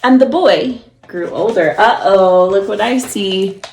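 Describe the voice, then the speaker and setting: A woman's voice: mid-range voice, unhurried, darkish tone, reverberant, a little hiss or hum. A woman preschool teacher reading a storybook aloud to young children, encouraging and explaining things simply.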